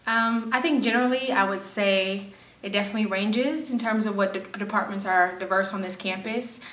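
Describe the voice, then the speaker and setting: Bright-voiced young woman, roomy sound. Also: medium pitch, moderate pace. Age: young adult. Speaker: college student. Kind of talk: casual interview opinion. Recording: echoey room, distant mic.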